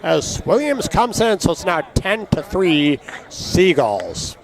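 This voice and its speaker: A man calling a baseball game play-by-play, his voice raspy and hoarse from allergies, chatting between pitches. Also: big pitch swings, slow. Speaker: play-by-play announcer.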